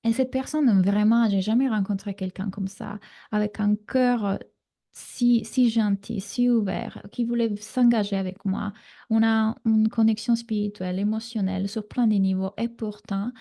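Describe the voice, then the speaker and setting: This is a woman from Italy, speaking with a clear Italian accent as she coaches a live online class. Her voice is mellow and dark, with a gravelly fry at the ends of phrases. She talks in a reassuring way, with plenty of fillers, through a computer mic. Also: medium pitch. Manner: warm, reassuring coaching talk with frequent fillers. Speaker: relationship coach.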